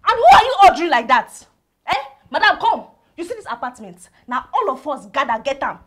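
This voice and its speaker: A woman playing a brash Nigerian loudmouth. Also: high-pitched, big pitch swings, measured pace, exaggerated voice. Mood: scolding. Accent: Nigerian, Pidgin English. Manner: confrontational, mocking, speaks in Pidgin English. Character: brash, loud-mouthed young woman visitor, quarrelsome.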